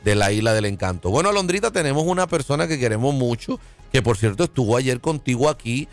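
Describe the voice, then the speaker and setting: A man's voice: mid-range voice, expressive, fast talker. A man with a Puerto Rican accent, hosting a live radio show in a conversational style. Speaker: radio host, pastor. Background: music bed.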